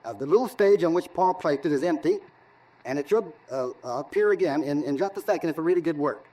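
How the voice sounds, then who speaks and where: A man acting in a 1940s radio drama as an excitable, jokey experimenter who talks in halting, colloquial announcements. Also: on the high side, low-quality recording. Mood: amazed. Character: excitable amateur inventor's helper, jokey reluctant announcer.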